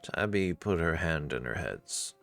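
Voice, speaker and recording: Very low-voiced woman narrating a story. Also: animated, unhurried, faint music behind. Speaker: visual novel narrator.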